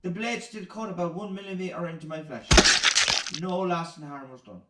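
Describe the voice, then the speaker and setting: A man's voice: high voice, normal speed, very noisy, close to an everyday voice. A man playing a disgruntled, hungover Irish factory worker in a radio play, grumbling and swearing emphatically. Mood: aggrieved recounting. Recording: roomy, distant from the mic.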